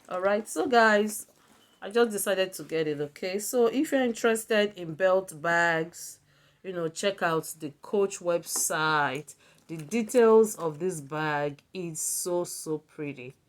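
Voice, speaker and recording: A woman with a Nigerian accent gives a casual product review as a video blogger, often repeating words for emphasis. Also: on the low side.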